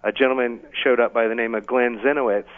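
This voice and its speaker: A man being interviewed casually on the radio as an event organiser, explaining things in a halting way over a phone line. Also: medium pitch, steady tone. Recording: thin, band-limited phone line.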